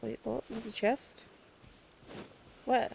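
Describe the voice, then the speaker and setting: Creaky-voiced woman streaming a game. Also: a little high, steady tone, takes it slowly, darkish tone, a little hiss or hum. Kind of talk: casual gameplay commentary.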